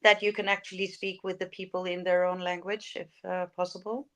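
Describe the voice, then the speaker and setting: A woman's voice: on the low side, level tone, fairly quick, a bit bright. An older woman from Denmark, a native Danish speaker, talking casually as an interview guest and thinking aloud hesitantly. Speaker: podcast guest, lifestyle coach.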